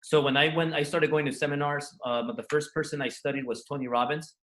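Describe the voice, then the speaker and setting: A man's voice: a little high, fairly even intonation, quick pace, fairly bright tone. A man from Los Angeles whose first language was Spanish, frankly telling his life story in a loose, earnest way as an interview guest. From Los Angeles, USA. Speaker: entrepreneur guest.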